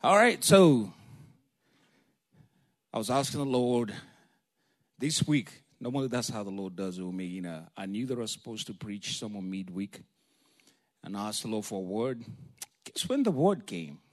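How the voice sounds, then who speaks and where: A man's voice: fairly low pitch, moderate pace, pauses often. Man preaching a sermon. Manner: lively, joking, storytelling, calls for amen.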